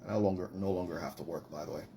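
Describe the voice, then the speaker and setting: A man in his forties with a Trinidadian accent rants casually, boasting and scolding his critics. His voice sits very low. A faint hiss runs behind him. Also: fairly even intonation, rapid-fire. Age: 40s. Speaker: commenter in a stitched video. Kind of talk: casual rant to camera.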